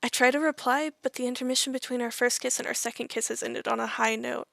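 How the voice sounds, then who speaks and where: A woman's voice: on the high side, steady tone, quick pace, creaky, a bit bright, no room sound, studio quality. A woman giving a theatrical, playful reading of a monologue, with arch and precise delivery. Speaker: monologue reader.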